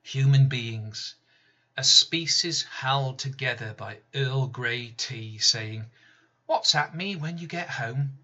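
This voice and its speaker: A man reading his own poem slowly, measured, with sudden loud emphatic rises and soft drops. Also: mid-range voice. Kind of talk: slow spoken-word poetry reading. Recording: slightly dull lo-fi recording.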